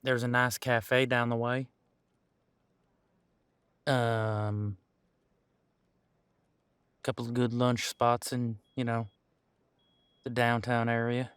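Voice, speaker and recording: A man playing an easygoing veteran police officer in an audio roleplay, chatting casually and candidly with thoughtful pauses. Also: medium pitch, level tone, a bit bright, clean tone, dry recording. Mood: candid, matter-of-fact. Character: easygoing veteran male supernatural police officer showing newcomer around. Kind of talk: audio roleplay acting, one-sided conversation.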